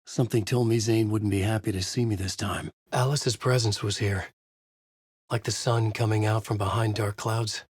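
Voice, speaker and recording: An actor playing a brooding, haunted male writer, narrating his dark story in a measured, dramatic, intense way with a husky voice. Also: lowish voice, fairly even intonation, dry recording, clean studio sound. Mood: brooding, grave. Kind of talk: scripted first-person noir narration.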